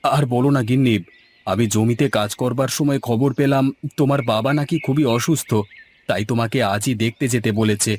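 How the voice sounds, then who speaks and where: A man's voice: mid-range voice, normal speed, a little mellow, slight background noise, close to an everyday voice. A man playing a caring, urgent village farmer and father, explaining things insistently in a homely cartoon style. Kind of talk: Bengali moral-story cartoon acting. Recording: prominent music behind the voice.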